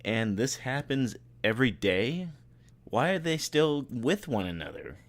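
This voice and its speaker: A man's voice: mid-range voice, big pitch swings, a little slow, pitched above the performer's own voice. A man voicing an earnest, curious young female cartoon pony with an eager, questioning manner. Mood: concerned.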